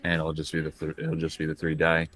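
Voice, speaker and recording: A man's voice: a bass voice, monotone, quick pace, a bit bright. A man chatting casually as a player in a tabletop role-playing game. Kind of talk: casual chat during tabletop game play.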